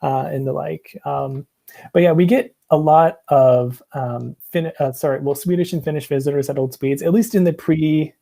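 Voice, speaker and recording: A man's voice: on the high side, moderate pace, vocal fry, warm tone. A young American man presenting over a video call from a small room at home, rambling with many ums and restarts. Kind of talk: casual video call chat. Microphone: earbuds on a video call.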